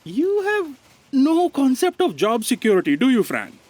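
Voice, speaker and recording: A woman's voice: high voice, huge pitch swings, normal speed, a little mellow, exaggerated voice. A woman playing a cynical, long-suffering copy aide with a dry, sardonic manner in a scripted comedy audio drama.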